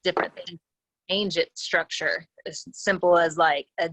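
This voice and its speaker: A woman's voice: on the low side, fairly even intonation, a little slow, fairly bright tone, no room sound, rough recording. A woman taking part as a guest on a conference call, talking conversationally and thinking aloud with hesitant phrasing. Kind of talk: conversational, reflective account.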